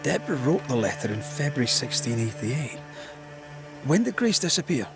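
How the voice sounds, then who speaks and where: An actor playing a shrewd, persistent investigator of hauntings in a video game cutscene, probing and wry. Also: male voice, mid-range voice, big pitch swings, moderate pace, over music.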